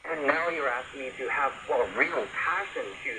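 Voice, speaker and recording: A man's voice: medium pitch, moderate pace. A man speaking casually as a panelist in a radio discussion, arguing in a conversational way. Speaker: radio talk show panelist. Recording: noisy shortwave radio broadcast. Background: shortwave static and hiss.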